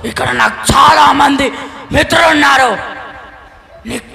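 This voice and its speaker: A man voicing a loud, theatrical Satan puppet in a children's church show, taunting and repeating himself. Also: extremely high pitch, moderate pace, vocal fry, heavily acted. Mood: taunting, boastful. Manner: theatrical, exclamatory, repetitive. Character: Satan puppet, loud taunting devil, easily frightened of Jesus. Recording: echoey hall with long reverb.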